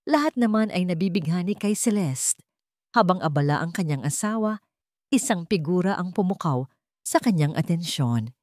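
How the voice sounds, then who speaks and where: Woman narrating a dramatic story, lowish voice, really dry close sound. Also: expressive, normal speed, a little mellow, no echo.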